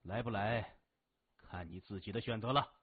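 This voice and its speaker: A man acts out a young male crime boss for an audiobook, his voice low and hushed. He speaks in a measured, menacing way with a quiet sneer, through thin phone-like audio. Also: slightly rough, dry recording. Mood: sneering menace. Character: cold, mocking young male gang boss.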